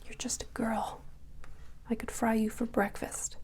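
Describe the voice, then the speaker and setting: A woman's voice: fairly high pitch, level tone, a little slow, voice pushed up, close to an everyday voice. Woman whispering as a timid, lonely green dragon. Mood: amazed. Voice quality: breathy whisper. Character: huge male green dragon, timid, lonely, tender-hearted. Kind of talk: whispered storybook character reading.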